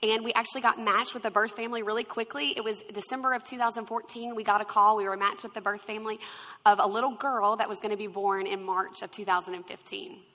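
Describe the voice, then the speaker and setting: A woman's voice: mid-range voice, fast, long reverb tail. A woman in her twenties telling her story with laughter, acting out the people she quotes. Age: 20s. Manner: expressive, acts out quotes, laughs often. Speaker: guest sharing her testimony. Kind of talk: animated storytelling.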